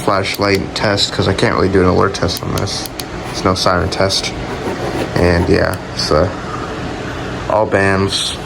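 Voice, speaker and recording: A man giving a casual, unscripted show-and-tell as a video host, speaking in a plain, offhand, halting way. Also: low voice, creaky. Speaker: video host showing a collection. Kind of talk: casual unscripted product showcase. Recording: loud steady ambient hum and hiss.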